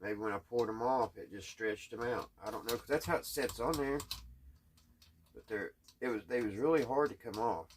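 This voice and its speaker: A man chatting casually in a halting, puzzled, folksy way, thinking out loud as he tinkers. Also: medium pitch, slight background noise. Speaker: host of a radio repair hobby channel. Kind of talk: casual hands-on repair chat, thinking out loud.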